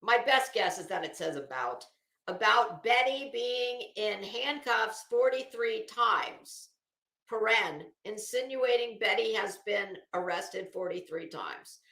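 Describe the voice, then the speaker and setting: A woman with a bright, forceful, slightly high voice reads a document aloud and comments on it in a sardonic, incredulous way. Her delivery is unhurried and blunt, with casual swearing. The recording sounds roomy and echoing. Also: expressive, vocal fry. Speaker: host of commentary show. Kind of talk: reading a court document aloud with running commentary.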